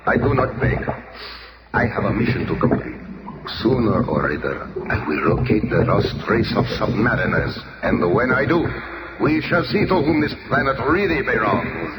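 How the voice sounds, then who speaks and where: An actor playing a proud, embittered undersea prince, imperious and theatrical, in a 1970s superhero radio drama. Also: male voice, fairly low pitch. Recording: very noisy old 1970s radio recording.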